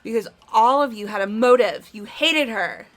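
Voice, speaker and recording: A woman's voice: a little high, lively intonation, moderate pace, a bit bright, soft background music. A woman playing a hot-headed girl athlete. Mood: accusing. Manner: insistent, accusing. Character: young female athlete, blunt and hot-headed. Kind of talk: fan voice acting of a game.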